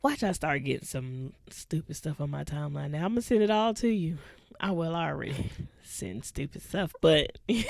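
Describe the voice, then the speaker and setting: A hoarse woman co-hosting a podcast, chatting casually with laughs and slow, drawn-out lists. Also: fairly high pitch, darkish tone, dry recording, studio quality. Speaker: podcast co-host. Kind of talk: casual chat.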